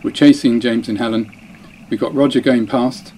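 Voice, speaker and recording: A man's voice: medium pitch, steady tone, moderate pace, a little mellow, a little hiss or hum, low-quality recording. A man narrating his own dinghy race, chatty and self-deprecating, often breaking off mid-phrase. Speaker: dinghy racing sailor. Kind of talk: voice-over commentary on race footage.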